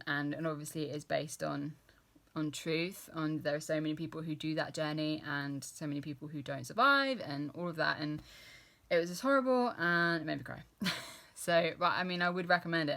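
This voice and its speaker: Woman in her thirties, low voice, loose and chatty, lots of ums, laughs at herself. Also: brisk, creaky, a bit bright. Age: 30s. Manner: rambling, lots of fillers and restarts, laughs at herself. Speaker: book vlogger. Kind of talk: casual chatty book talk.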